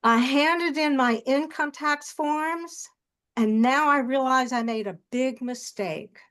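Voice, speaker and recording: A woman's voice: high voice, slow, a bit bright. A woman taking the part of a caller seeking help in a role-played peer-support call.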